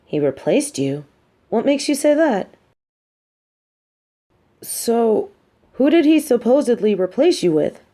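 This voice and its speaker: Woman acting slow, mellow, scheming female supervillain. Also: medium pitch. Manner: theatrical, measured, wry, drops into muttered asides. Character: scheming, sardonic female supervillain, grudgingly sympathetic to a captive. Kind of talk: intimate audio roleplay monologue, scripted.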